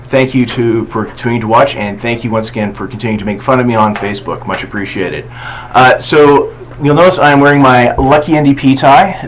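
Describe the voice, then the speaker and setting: Male host, lower register, laid-back, filler-heavy, light background hiss. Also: poor audio. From Canada. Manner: relaxed, self-deprecating, frequent fillers. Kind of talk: casual campaign video chat.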